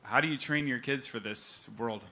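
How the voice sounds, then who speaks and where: A man's voice: mid-range voice, normal speed, bright, forceful tone, slight background noise. A man from Texas with an American accent, an audience member asking a question on a handheld microphone in a conference hall, in an easygoing, joking way.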